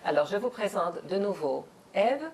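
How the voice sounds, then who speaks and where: A woman hosting a dinner-table interview in a home, speaking slowly and carefully as she searches for words. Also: medium pitch, lively intonation, darkish tone, a little hiss or hum. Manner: slow and measured, searching for words. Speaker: host. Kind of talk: hosted interview.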